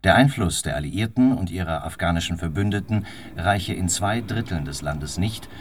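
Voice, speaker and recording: An actor playing an impersonal radio newsreader, delivering a formal bulletin in a husky voice. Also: male voice, sits low, animated, normal speed, a little hiss or hum. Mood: detached, formal. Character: impersonal male radio newsreader reporting on war.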